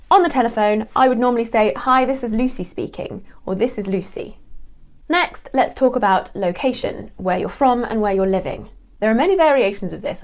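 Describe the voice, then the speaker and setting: A young woman from England with a British English accent, teaching an online English lesson with a clear, deliberate delivery. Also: mid-range voice, darkish tone.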